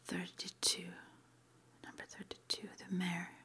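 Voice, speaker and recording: Whispering woman, slow ASMR roleplay. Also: on the low side, completely flat delivery, sharp tone. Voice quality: breathy unvoiced whisper. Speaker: ASMR roleplay performer playing a witch school interviewer.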